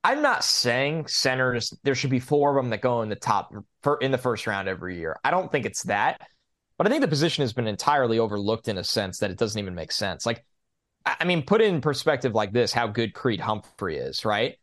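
A man's voice: medium pitch, very animated, a little fast, a bit bright. A man co-hosting a sports podcast, giving his opinions on football in a casual, conversational way.

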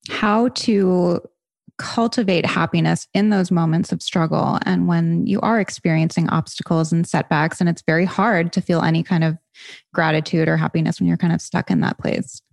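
A woman hosting an interview in a relaxed, conversational way, sharing her own experience and putting listener questions to her guest. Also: fairly low pitch, vocal fry, no echo, studio quality. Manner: relaxed, conversational, uses like and you know. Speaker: podcast host. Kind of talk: casual interview chat.

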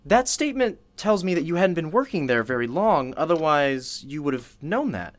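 A man's voice: on the high side, big pitch swings, moderate pace. An actor playing an eager, inquisitive young male radio interviewer in a drama, conversational and a little hesitant in his phrasing. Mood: curious, probing.

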